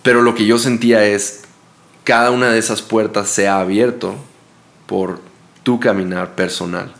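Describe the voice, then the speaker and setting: Low-voiced guy hosting a podcast, earnest, keeps pausing for words. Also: steady tone, gravelly, a bit bright. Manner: earnest, halting, searching for words. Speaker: podcast host. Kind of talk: casual chat.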